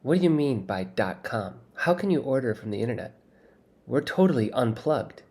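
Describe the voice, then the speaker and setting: A man's voice: mid-range voice, big pitch swings, moderate pace, darkish tone. Man playing an indignant, rule-bound boy. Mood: accusing. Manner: indignant, scoffing, exclamatory. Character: indignant, strict, rule-abiding boy narrator. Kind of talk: acted audiobook dialogue.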